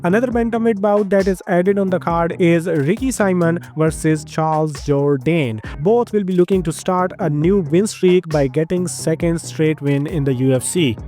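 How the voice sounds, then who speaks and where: A man with an Indian accent runs through a fight news rundown in a brisk, list-like way, his voice sitting high for a man. His grammar slips a little here and there. Faint music plays softly underneath. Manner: brisk, list-like delivery with slightly nonstandard grammar. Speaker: MMA news host.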